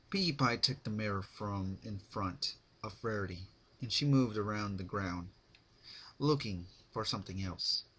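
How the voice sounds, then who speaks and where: Slow, low, husky male narrator. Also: a bit bright. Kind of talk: amateur dramatic reading of horror fan fiction.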